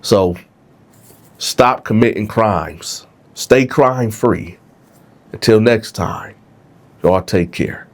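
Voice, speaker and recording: A man's voice: lowish voice, extremely slow, pauses often. A man with a congested, stuffed-up nose hosting his channel, telling a story casually to viewers and checking in with "right?". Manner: conversational, keeps asking "right?". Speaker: vlog host.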